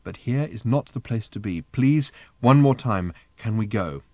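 A man voicing a calm, earnest mother in a story, quietly and persistently pleading with her daughter. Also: fairly low pitch, measured pace, no echo. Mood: gentle pleading.

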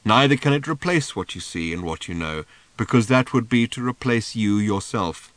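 A man voicing a cryptic, mournful old male seer who delivers slow, drawn-out, sing-song pronouncements. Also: fairly low pitch, animated, fairly bright tone, no room sound.

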